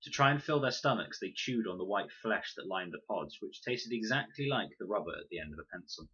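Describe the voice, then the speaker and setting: A man reading a children's novel aloud in an expressive narration style. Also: sits low, fairly quick, slightly rough, muffled recording. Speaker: audiobook narrator, reader.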